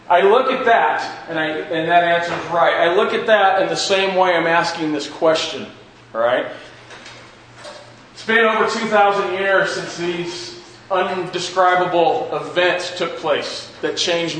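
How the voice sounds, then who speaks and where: A man with a slightly high voice leads a Bible class in a very reverberant room. He speaks informally, circling back over his questions and rewording them for the group. There is faint noise in the recording. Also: unhurried, fairly bright tone. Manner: repeats and rephrases questions for emphasis. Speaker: Bible class teacher at a church. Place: large echoey indoor room with a class present.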